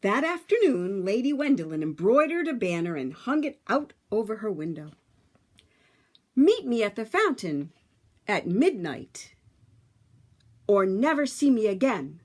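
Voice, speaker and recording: A woman reading a picture book aloud to children, with dramatic, emphatic phrasing and drawn-out words. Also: mid-range voice, sing-song.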